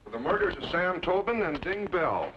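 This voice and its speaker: A man's voice: high voice, sing-song, moderate pace. An actor playing a formal, menacing frontier lawman in an old-time radio western. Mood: coolly threatening. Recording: muffled vintage radio recording, faint noise.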